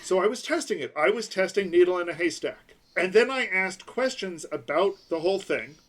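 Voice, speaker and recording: Guy cohosting a dev podcast, kinda high voice, walks thru numbers slow. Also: expressive, a bit bright. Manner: explanatory, breaks numbers into slow pieces. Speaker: podcast co-host. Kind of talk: casual tech chat.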